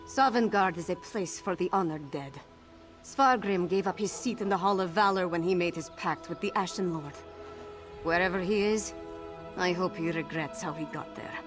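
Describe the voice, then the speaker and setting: An actress playing a determined young Nord princess who speaks firmly in a video game cutscene. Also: female voice, on the low side, normal speed, soft background music, natural voice. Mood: resolute. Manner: firm, determined.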